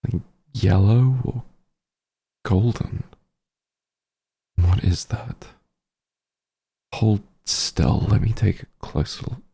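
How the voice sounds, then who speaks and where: A low-voiced, embittered fantasy hunter, acted by a man in an audio roleplay. He speaks in slow, halting phrases with intense menace. His husky voice carries cruelty with grief underneath it. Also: mellow, exaggerated voice. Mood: wary, puzzled. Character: bitter male werewolf hunter, cruel, grieving, shaken. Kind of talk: dramatic audio roleplay monologue.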